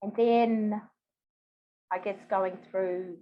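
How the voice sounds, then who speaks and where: A woman's voice: medium pitch, fairly even intonation, very slow, dull audio. A woman in her fifties with a New Zealand accent, chatting expressively and telling stories casually as an interview guest. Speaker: podcast guest.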